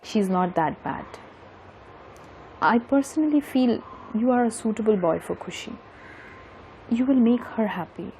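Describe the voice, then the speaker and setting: A woman's voice: on the high side, expressive, unhurried, long pauses, dark tone, soft background music, poor audio. A woman with an Indian Bengali accent playing a lovelorn young woman confiding in her best friend in an audio drama. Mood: earnest.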